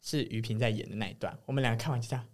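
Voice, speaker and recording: A woman's voice: very deep voice, very fast, background noise. A woman with a Taiwanese Mandarin accent co-hosting a podcast in a playful, teasing, casual way. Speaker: podcast co-host.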